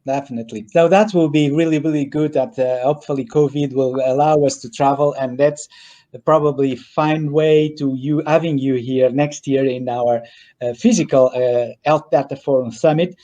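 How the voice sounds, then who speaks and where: A man's voice: medium pitch, steady tone, normal speed, mellow, muffled recording. A man hosting an interview, speaking with hesitant fillers and slightly non-native phrasing, over a video call. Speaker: host, event organizer. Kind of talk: interview host.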